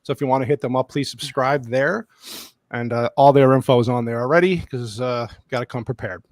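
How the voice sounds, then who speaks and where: A man's voice: mid-range voice, brisk, no echo, clean studio sound. A man hosting a casual movie livestream, loose and joking with many fillers. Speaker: livestream host.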